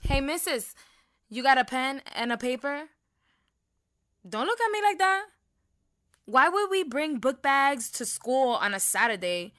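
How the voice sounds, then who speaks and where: Blunt, bright-voiced teenage girl. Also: high voice, big pitch swings, unhurried, pauses often, dry recording, exaggerated voice. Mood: annoyed, defiant. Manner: blunt, slangy, swearing. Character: blunt teenage Puerto Rican high school girl in detention. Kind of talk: naturalistic audio drama acting.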